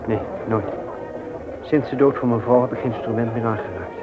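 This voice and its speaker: An actor playing a reserved, considerate widower with a laconic, attentive manner in a naturalistic radio play. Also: male voice, medium pitch, fairly quick, loud music behind the voice, close to an everyday voice. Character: reserved, considerate widower, calm and sparing with words. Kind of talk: naturalistic radio drama acting. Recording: muffled, hissy old radio play recording.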